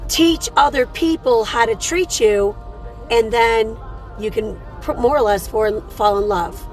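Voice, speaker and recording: A hoarse woman with a slightly high voice chats casually through a live morning show. She greets her viewers affectionately and talks about her card readings. Faint radio music plays behind her in the car. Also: measured pace, low-quality recording. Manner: chatty, affectionate with viewers, calls them sweetheart. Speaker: host and card reader.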